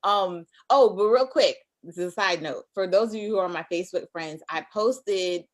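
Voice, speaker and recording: A woman in her thirties hosting a casual chat, talkative and teasing, with quick side notes. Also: fairly low pitch. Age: 30s. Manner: chatty, teasing, quick asides. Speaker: host.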